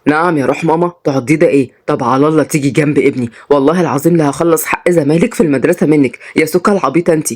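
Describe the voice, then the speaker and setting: A man's voice: fairly high pitch, very fast, few pauses, lightly acted. A woman voicing a teasing but tender husband in an Egyptian Arabic story, with an Egyptian accent. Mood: teasing, scolding. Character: adult husband, teasing, tender, reformed rich man turned mechanic.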